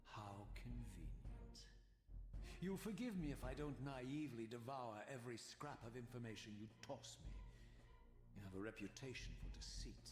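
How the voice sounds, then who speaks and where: An actor playing a proud, brooding, vengeful undead wraith in a video game, with disdainful, sardonic and formal delivery. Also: male voice, medium pitch, expressive, moderate pace, lightly acted. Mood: scornful. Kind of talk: theatrical video game voice acting. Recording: echoey hall reverb, music behind.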